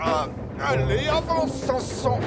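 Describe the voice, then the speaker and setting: Samson, a proud huffing steam engine. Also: male voice, extremely high pitch, sing-song, slow, loud music behind the voice, full character voice. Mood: straining. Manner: boastful, huffing and groaning with effort. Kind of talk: French cartoon dub.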